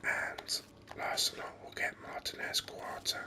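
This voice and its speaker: A man whispering ASMR game commentary in a breathy, unhurried way, thinking aloud close to the microphone. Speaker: gaming video host.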